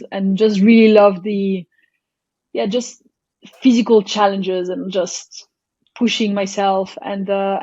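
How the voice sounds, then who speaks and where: A woman from Belgium answers interview questions thoughtfully, pausing and restarting often. Her mid-pitched voice comes through dry and slightly muffled. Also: darkish tone, no room sound. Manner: thoughtful, hesitant, many fillers and restarts. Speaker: guest, startup founder. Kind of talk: casual interview chat.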